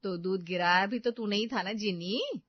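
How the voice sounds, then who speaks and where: A woman's voice: medium pitch, moderate pace, dry recording, lo-fi sound, lightly acted. Woman playing a sensible, anxious daughter-in-law. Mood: questioning.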